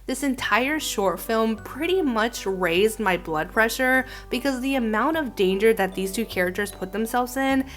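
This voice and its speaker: A woman giving scripted video commentary in a conversational storytelling way, with expressive emphasis. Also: fairly high pitch, normal speed, hardly any pauses. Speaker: host reviewing an animated horror film. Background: background music.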